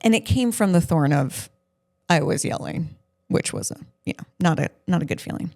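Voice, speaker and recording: Woman, lowish voice, gravelly fry, casual podcast chat, blunt and self-deprecating. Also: expressive, moderate pace, a little mellow. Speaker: podcast co-host interviewing her partner. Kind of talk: casual conversational podcast talk.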